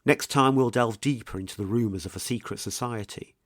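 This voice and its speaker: A man presenting a scripted mystery documentary in a measured, ominous, suspenseful way, with deliberate pauses. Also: medium pitch, no room sound, studio quality. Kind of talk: scripted dramatic documentary narration. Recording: music behind the voice. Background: music.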